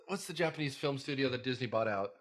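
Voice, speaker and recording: A man hosting a casual movie-review podcast, jokey and excitable, his sentences dropping low and quiet at the ends. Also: quick pace.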